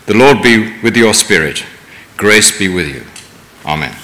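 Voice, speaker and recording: A man reading scripture aloud in church, measured with occasional stumbles. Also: fairly low pitch, animated, pushed and bright. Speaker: scripture reader. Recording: distant mic in reverberant hall.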